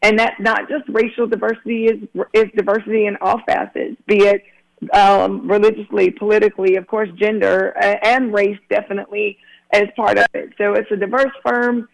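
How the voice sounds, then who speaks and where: A man's voice: high voice, flat delivery, normal speed, low-quality recording. A man, a guest attorney talking earnestly in a casual radio interview with many restarts. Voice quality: raspy.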